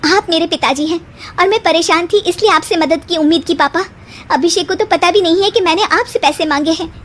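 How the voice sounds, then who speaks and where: A woman's voice: extremely high pitch, a little fast, fairly bright tone, pitched above the performer's own voice. A woman voicing a caring, patient young wife and daughter-in-law in a family story. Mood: hurt, firm.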